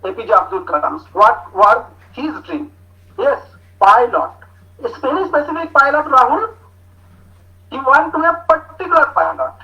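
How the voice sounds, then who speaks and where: A man with an Indian English accent giving an online talk to students, speaking slowly with many hesitations as he reads out their answers. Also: very high voice, expressive. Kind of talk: online lecture with student chat. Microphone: video call. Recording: muffled video call audio.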